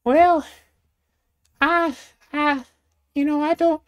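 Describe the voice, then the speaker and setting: A woman's voice: sits high, steady tone, very slow, pitched above the performer's own voice. Woman doing a coy genteel young lady. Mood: coy shy. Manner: old-fashioned genteel, hesitant and coy. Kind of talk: comic storytelling.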